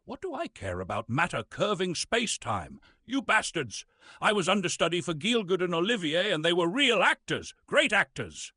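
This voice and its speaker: A pompous old British stage actor played by a man reads with grand theatrical weight. His husky voice rises and falls dramatically, close and dry, with the bitterness of a classical thespian fallen on hard times. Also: high-pitched, big pitch swings, normal speed, bright, forceful tone, no echo, exaggerated voice. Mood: bitter, indignant. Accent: British English. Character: pompous, bitter old Shakespearean actor reduced to reading science.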